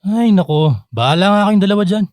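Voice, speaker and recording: An actor playing a disapproving, exasperated grown son in a radio drama. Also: male voice, high-pitched, lively intonation, fairly quick, darkish tone, dry recording, clean studio sound.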